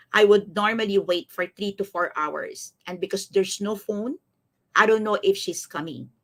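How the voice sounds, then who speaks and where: A woman's voice: mid-range voice, pushed and bright. A woman in her fifties with a Filipino accent, giving a motivational live-streamed coaching talk, repeating phrases and often checking in with her listeners. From Philippines. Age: 50s. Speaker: life coach, host of a live series.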